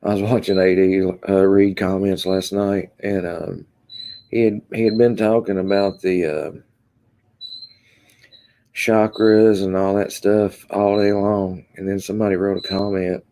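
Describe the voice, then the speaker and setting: Low, mellow Kentucky man chatting. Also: little pitch movement, a little slow. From Kentucky, USA. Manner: rambling, answers chat comments, many ums. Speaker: livestream host. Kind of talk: casual live-chat stream.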